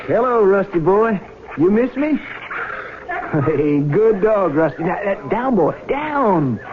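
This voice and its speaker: Gentle, lighter-voiced young farm husband. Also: male voice, sits high, big pitch swings, slow, background noise, exaggerated voice. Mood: cheerful. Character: gentle, quiet young farmer husband, lovingly jealous. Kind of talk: 1970s radio drama acting. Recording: tinny band-limited radio broadcast, music.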